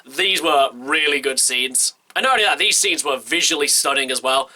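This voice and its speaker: A man's voice: mid-range voice, bright, forceful tone. A young man with a stuffy nose from a cold, giving a chatty, enthusiastic film review as a vlog host. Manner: chatty and enthusiastic, quick run-on sentences. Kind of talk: casual movie review to camera.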